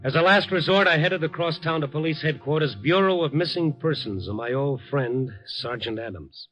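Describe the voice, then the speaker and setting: Bright, hard-boiled American reporter. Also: male voice, on the high side, animated, moderate pace. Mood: brooding. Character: dogged male newspaper reporter narrating his night investigation. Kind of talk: 1950s radio drama acting, hard-boiled first-person narration. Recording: dull vintage radio recording, music behind.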